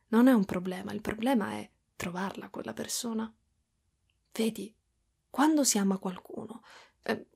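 Woman playing a slow, breathy, doting spider mother. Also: fairly high pitch, exaggerated voice. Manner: soft, cooing, hushed, drifting into whispers. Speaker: ASMR roleplay performer. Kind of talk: intimate ASMR roleplay in Italian.

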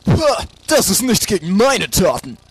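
A man playing an excitable, boastful, easily offended superhero captain in a parody audio drama, with shouty, whiny, drawn-out delivery. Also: high-pitched, breathy edge, full character voice, rough recording. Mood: boastful, loud. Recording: faint background noise.

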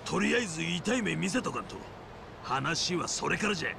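An actor playing a brash, lecherous middle-aged yakuza boss with a Hiroshima accent and a gravelly voice, in a video game. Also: male voice, a little high, fairly quick, background noise. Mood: belligerent. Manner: blustering, exaggerated, animated. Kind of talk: video game cutscene acting.

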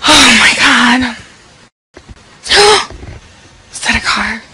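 A woman's voice: medium pitch, moderate pace, sharp tone, breathy edge. A young woman playing a nervous teen girl left home alone, talking anxiously to herself and often whispering. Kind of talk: amateur horror skit acting.

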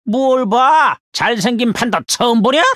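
A woman's voice: a little high, lively intonation, a little slow, no room sound. A woman voicing a cheeky, hot-tempered little panda mercenary in a game, with punchy, blustering delivery. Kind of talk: Korean mobile game character voice lines.

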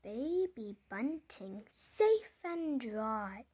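A storybook reader going through a picture book aloud in simple, deliberate phrases, pausing and putting questions to the listener. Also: mid-range voice, big pitch swings, darkish tone, husky. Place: indoors, book held before the camera.

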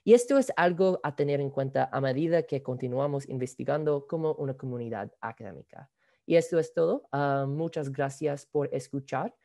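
A student presenting research at an online academic conference, reading a prepared talk with frequent "ah" fillers. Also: male voice, mid-range voice, moderate pace, warm tone. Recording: video call audio.

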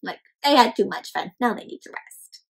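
A young woman reading a picture book aloud to children, animated and acting out the characters while asking the kids questions. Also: a little high, moderate pace, slightly rough. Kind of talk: interactive read-aloud for kids. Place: home room with decorated wall.

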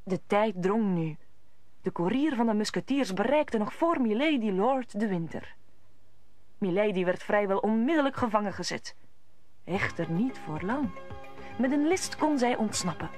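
A woman's voice: mid-range voice, lively intonation, moderate pace, a little mellow, dry recording, faint music behind, lightly acted. A woman narrator telling the story in an old Dutch radio drama, in scripted storytelling style.

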